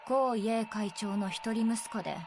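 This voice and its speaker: Languid high-voiced female kidnapper, acted by a woman. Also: quick pace, natural voice. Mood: lazy, nonchalant. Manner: languid, teasing. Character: nonchalant, lazy-toned, teasing female kidnapper. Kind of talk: Japanese visual novel voice acting. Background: music.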